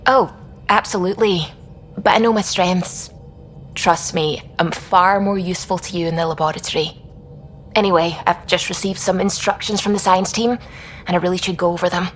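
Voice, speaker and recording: A woman's voice: lowish voice, fairly even intonation, moderate pace, faint music behind, lightly acted. A woman playing an earnest, self-deprecating lab scientist in a video game. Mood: amused, self-deprecating.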